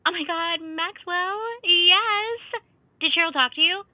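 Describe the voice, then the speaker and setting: Spoiled diva, dull dry sound. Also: female voice, very high voice, measured pace, dry recording. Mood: self-absorbed. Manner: haughty, dramatic. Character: spoiled, self-absorbed female theater diva. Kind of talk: audio comedy acting.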